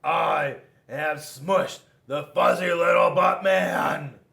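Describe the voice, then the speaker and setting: A man's voice: fairly high pitch, gravelly, fairly bright tone, heavily acted. Man putting on a bombastic, boastful rock warrior. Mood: booming, triumphant. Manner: bombastic, drawn-out battle cries. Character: boastful, battle-hungry male rock warrior hero. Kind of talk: storybook read-aloud. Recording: echoey room.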